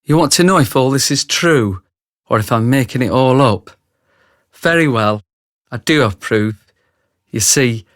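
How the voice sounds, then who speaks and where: Man acting rough ex-soldier narrator in a radio play, mid-pitched, talks right at you, very dry sound. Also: a bit bright, no echo, natural voice. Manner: grave, confiding, addresses the listener directly. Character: remorseful thick brute ex-soldier, male confessional narrator.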